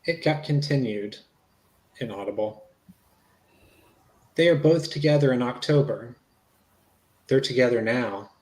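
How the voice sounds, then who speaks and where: A man's voice: mid-range voice, measured pace, long pauses, a little mellow, lightly acted. A man playing a polite, reassuring police investigator in a conversational transcript reading, repeating himself as he goes. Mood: matter-of-fact. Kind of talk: dramatic reading of an interview transcript. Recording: echoey room.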